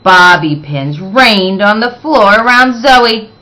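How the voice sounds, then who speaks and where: Female picture-book narrator, slow and deliberate, bright tone, expressive with dramatic emphasis. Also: mid-range voice. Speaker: read-aloud storyteller.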